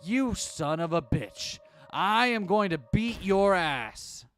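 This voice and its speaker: A man's voice: medium pitch, animated, soft background music, natural voice. A man voicing a snarling gang boss. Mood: furious. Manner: snarling, slow menacing threats. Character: hot-tempered young male gang leader.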